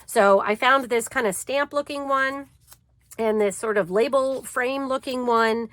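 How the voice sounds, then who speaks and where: A woman's voice: a little high, measured pace, a bit bright. A woman with a stuffy cold voice hosting a crafting channel, chatting in an easygoing way as she shows a planner layout. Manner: chatty and easygoing, with frequent fillers. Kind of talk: casual crafting tutorial chat.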